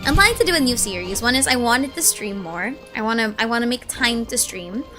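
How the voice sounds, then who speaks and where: A woman in her early twenties chatting casually on a gaming stream, excitable and gushing, rambling as she goes. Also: a little high, a little fast. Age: early 20s. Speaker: streamer and video essay creator. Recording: music behind the voice. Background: game music.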